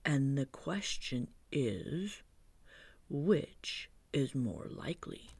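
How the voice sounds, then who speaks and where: A storybook reader voicing a gentle, slow-witted toy bear who talks in a soft, breathy half-whisper, slow and thoughtful. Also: mid-range voice, sing-song, no echo, exaggerated voice. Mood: pondering.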